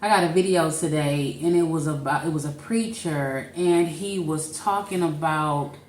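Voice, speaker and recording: A woman's voice: deep voice, moderate pace, a little mellow, roomy sound. A woman from St. Louis co-hosting a casual podcast, thinking aloud and restarting her sentences as she goes. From St. Louis, Missouri. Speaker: podcast co-host. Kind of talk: casual chat.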